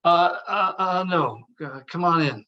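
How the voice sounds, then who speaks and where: A man's voice: a little high, measured pace, dull audio, natural voice. A man playing a brash, teasing buddy in a comedy table read. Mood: covering awkwardly. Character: brash, teasing male buddy, inappropriate but quick to cover.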